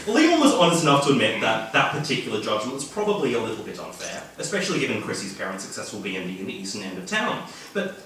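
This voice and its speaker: Echoey, distant Australian man reading aloud, animated. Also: medium pitch. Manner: wry, jokey, chuckling asides. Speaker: author reading at a literary event. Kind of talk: live reading of humorous fiction with asides. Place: indoor event venue, filmed reading. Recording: echoey room, distant mic, faint noise.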